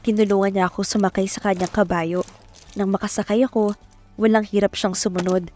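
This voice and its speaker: A woman's voice: mid-range voice, little pitch movement, brisk, a little mellow, close to an everyday voice. An actress playing a timid, tender young Filipina telling her love story in a romantic audio drama, speaking with a Filipino accent. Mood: shy, nervous. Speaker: narrator and lead actress. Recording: music bed behind voice.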